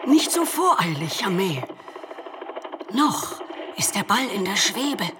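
A woman playing a stern, grandiose female president in an audio drama, with measured authority. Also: mid-range voice, very animated. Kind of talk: dramatic audio play acting. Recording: loud helicopter and vehicle noise.

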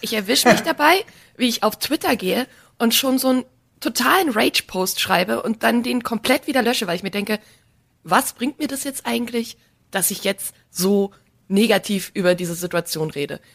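Young woman w a stuffy nose, gravelly voice, chill podcast guest. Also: fairly high pitch, moderate pace, fairly bright tone. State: congested, nasal. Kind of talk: casual podcast chat.

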